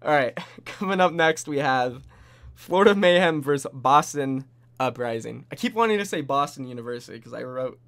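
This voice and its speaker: A young man hosting a casual esports prediction chat, animated and full of bold, hype-driven takes. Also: medium pitch, normal speed. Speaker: host.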